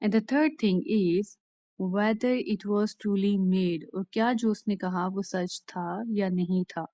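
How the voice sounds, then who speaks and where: Slow-paced Pakistani woman lecturing. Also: medium pitch, mellow, dry recording. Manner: deliberate, instructional, restating points plainly. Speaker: law lecturer. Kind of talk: explanatory lecture mixing Urdu and English.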